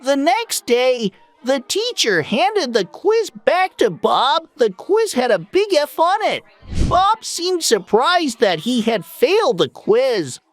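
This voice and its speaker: A woman's voice: high voice, sing-song, slow pace, no room sound. A woman narrating a scripted children's story.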